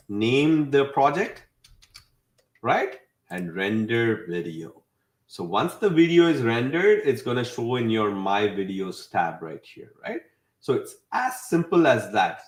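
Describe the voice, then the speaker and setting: A man hosting a webinar with a casual software walkthrough, often checking in with a tagged-on "right?". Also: medium pitch. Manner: often tags statements with "right?". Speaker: webinar host demonstrating software. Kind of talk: casual screen-share tutorial. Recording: roomy, echoey voice recording.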